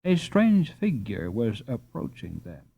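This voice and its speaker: A man narrating a children's classic audiobook in a measured, unhurried reading. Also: mid-range voice, huge pitch swings, gravelly, husky, highs cut off. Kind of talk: scripted storybook narration.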